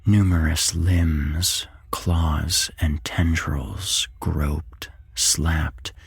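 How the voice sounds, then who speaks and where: A man reading a horror story as whispered ASMR narration, hushed and deliberate, close to the microphone. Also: a bass voice, steady tone, creaky, breathy edge, no echo. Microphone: close microphone, dry.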